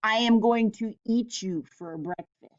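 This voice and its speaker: Woman putting on a mean, greedy shouting troll. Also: a little high, highs cut off, natural voice. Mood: menacing, shouting. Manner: shouting, menacing, drawn-out words. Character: mean, greedy bridge troll bellowing threats.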